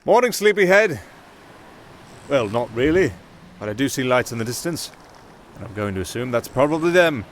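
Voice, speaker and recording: A man plays a roguish castaway musician turned ship's captain in an ASMR fantasy roleplay. He is chatty and wry, with a medium-pitched voice over clear outdoor sea ambience. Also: very animated, normal speed, slight background noise, close to an everyday voice. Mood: amused. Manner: chatty, wry, addressing an unheard companion.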